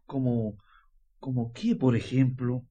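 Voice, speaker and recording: Radio drama role: bewildered father, mid-pitched, slow and hesitant. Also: fairly even intonation, warm tone. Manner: hesitant, questioning. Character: troubled, bewildered father of a survivor.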